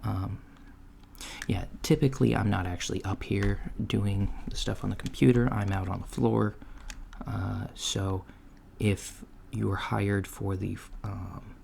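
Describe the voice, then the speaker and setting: A soft-spoken man playing a polite job interviewer in an improvised ASMR roleplay, talking in a halting, hesitant way. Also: fairly low pitch, vocal fry. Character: polite, businesslike alien-store manager interviewing a job applicant. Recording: lo-fi with steady background noise. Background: computer keyboard typing.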